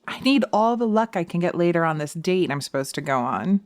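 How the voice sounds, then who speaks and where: A woman's voice: fairly low pitch, animated, a little fast. A woman voicing a brash, sarcastic witch in an audio roleplay, blunt and teasing in an exasperated way. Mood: weary. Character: brash, sarcastic young witch fed up with men.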